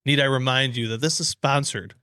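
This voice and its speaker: A man's voice: mid-range voice, fairly even intonation, fairly quick, bright, forceful tone, clear, resonant tone, no echo. An American man co-hosting a podcast, chatting casually in slangy, informal language. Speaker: podcast co-host. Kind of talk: casual chat and informal sponsor plugs.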